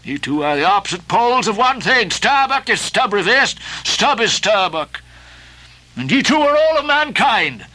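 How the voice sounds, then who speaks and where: A man voicing an old, obsessed sea captain, declaiming archaic lines with booming passion. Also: sing-song, moderate pace, bright, forceful tone, full character voice, rough recording. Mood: defiant. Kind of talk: audiobook character voicing.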